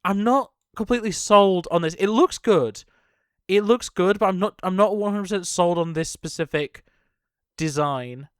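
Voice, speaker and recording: A man's voice: high voice, normal speed, fairly bright tone, smooth, dry recording, clean studio sound. A young man giving casual, off-the-cuff reaction commentary on a video game trailer, rambling and thinking aloud as he goes.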